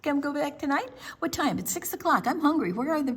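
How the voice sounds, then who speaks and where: A woman voicing an excitable, impatient little boy in a picture-book read-aloud, pleading and repeating himself in a whiny, breathless way. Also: high-pitched, fast talker, slight background noise, voice pushed up. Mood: eager.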